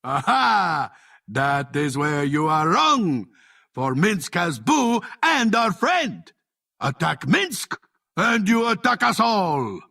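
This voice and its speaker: An earnest, big-hearted ranger hero from a fantasy game. He speaks slowly and proudly in a high, swooping voice, boisterous and naive. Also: sing-song, creaky, pushed and bright, heavily acted. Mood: proud, loyal. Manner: earnest, speaks of himself in third person. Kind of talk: cartoonish fantasy game voice acting.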